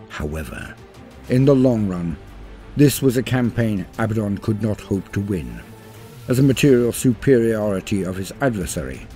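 Deep-voiced man narrating dramatic lore. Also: mellow. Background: music.

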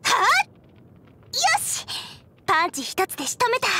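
An extremely high, girlish voice for a fantasy anime game. She is a cheerful teenage cook, eager and bubbly. Her delivery is chatty and very expressive. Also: a little slow, full character voice. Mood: excited. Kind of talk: animated anime game character acting.

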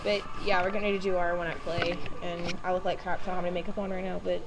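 A woman's voice: fairly low pitch, flat delivery, fast, background noise. A young American woman chatting casually for a vlog, recorded on a laptop in a classroom. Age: young adult. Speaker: student vlogger, cast member. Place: indoor college classroom with other students. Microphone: laptop built-in mic, moved around the room.

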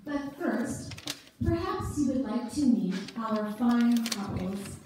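Woman narrator for live readers theater, measured delivery, very echoey church sound from far off. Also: a little high, expressive, warm tone, slight background noise. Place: church sanctuary, before an audience. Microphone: far camera among the audience.

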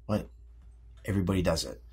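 Moody teenage American boy, deep and breathy-rough, stumbling over words, defensive, audio drama. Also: a little mellow, exaggerated voice. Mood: reluctant, grumbling. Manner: halting, repeating words, slangy teenage speech. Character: defensive, moody sixteen-year-old American high school boy. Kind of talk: naturalistic audio drama dialogue.

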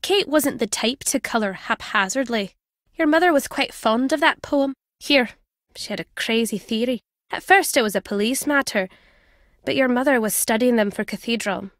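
A woman plays an older British former spy and journalist in a video game. Her voice sits fairly high, in a dry, close recording. She is wry and guarded, teasing yet fond as she speaks. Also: normal speed, fairly bright tone, dry recording, close to an everyday voice. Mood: guarded, serious. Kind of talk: video game character dialogue.

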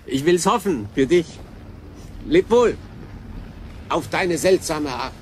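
An actor playing a melancholy human castaway in a sci-fi radio drama, halting and emotional in his delivery. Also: a little high, lively intonation, slight background noise. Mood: tender, regretful. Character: melancholy, guilt-ridden human castaway man on island.

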